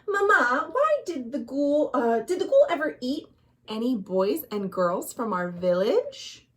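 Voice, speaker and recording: A woman's voice: sits high, big pitch swings, unhurried. A woman voicing a brave, curious and restless young village boy in a storybook, earnest and emphatic. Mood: questioning.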